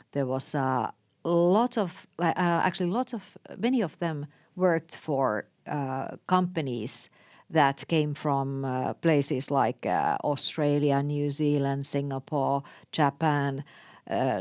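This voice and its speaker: Interviewer, mid pitch, dry room, lots of mm and yeah. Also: a little mellow, dry recording. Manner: attentive, frequent murmured backchannels. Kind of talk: interview conversation.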